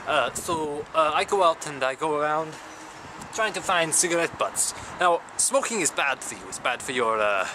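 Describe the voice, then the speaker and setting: A young man playing a self-styled wandering samurai, rambling in a deadpan way with sudden crude outbursts, recorded outdoors on city streets. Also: a little high, moderate pace, natural voice. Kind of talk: improvised character comedy to camera. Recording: loud street and traffic noise.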